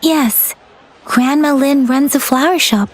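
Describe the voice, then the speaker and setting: A woman voicing a gentle, thoughtful young woman in a video game, speaking in a polite, earnest, measured way. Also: sits high. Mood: pondering. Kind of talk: video game character dialogue.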